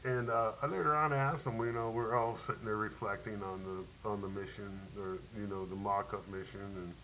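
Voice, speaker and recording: A middle-aged man, a military veteran, telling a rambling personal war story, halting with many ums and restarts. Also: fairly low pitch, fairly even intonation, bright, forceful tone. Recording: dull lo-fi audio with faint hiss.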